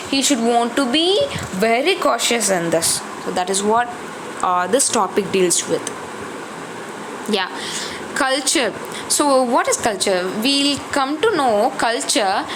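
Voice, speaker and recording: A woman's voice: on the high side, background noise. A woman from Tamil Nadu with a Tamil accent, giving a classroom lecture, repeating points and asking rhetorical questions with many fillers. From Tamil Nadu, India. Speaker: college lecturer.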